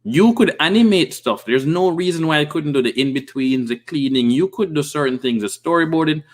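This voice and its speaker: Jamaican man hosting a lively livestream. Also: on the high side, a little fast. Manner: animated storytelling with hand gestures. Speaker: livestream host. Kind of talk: casual livestream commentary reading viewer comments. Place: home office, indoors. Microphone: desk microphone with pop filter.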